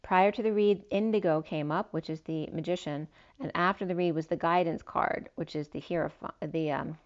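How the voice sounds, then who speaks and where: A woman's voice: fairly low pitch, steady tone, normal speed. A woman giving a spiritual tarot reading, meandering through long lists of cards with fillers, her voice slightly worn. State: losing her voice a little. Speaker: tarot reader. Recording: dull lo-fi sound.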